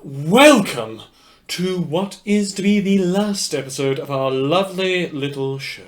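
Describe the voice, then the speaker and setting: A man's voice: on the high side, measured pace, lightly acted. A man playing a polite but murderous radio host in a fiction podcast, wordy and theatrical, with a genteel, mock-apologetic manner. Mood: grandiose welcome. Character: polite, murderous, inhuman male radio host, darkly cheerful. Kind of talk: scripted fiction podcast monologue as radio broadcast.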